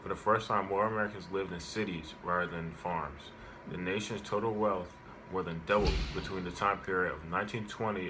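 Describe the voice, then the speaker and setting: Low-pitched man reading an informative speech. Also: noisy background. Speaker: student speaker giving an informative speech. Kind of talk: prepared informative speech, read aloud.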